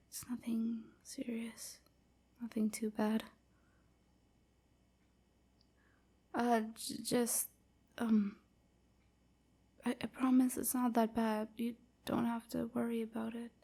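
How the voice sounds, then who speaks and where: A woman playing a timid, submissive vampire slave in an intimate audio roleplay, hesitant and stammering, with a soft, whispery, apologetic delivery. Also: a little high, flat delivery, unhurried. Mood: anxious, evasive. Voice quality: soft, breathy, often whispery. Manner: hesitant, stammering, hushed and breathy.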